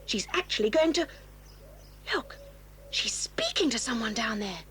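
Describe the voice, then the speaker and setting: A woman's voice: fairly high pitch, sing-song, measured pace, a bit bright, slight background noise. A woman playing a snobbish, sly schoolgirl with a prim, haughty manner in a children's audio drama. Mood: nosy disapproval.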